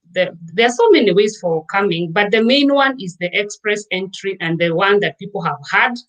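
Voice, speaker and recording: A woman from Kenya, a guest in a casual interview, explaining at length with frequent pauses and fillers. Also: mid-range voice, fairly bright tone, rough recording. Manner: explanatory, with many fillers and restarts.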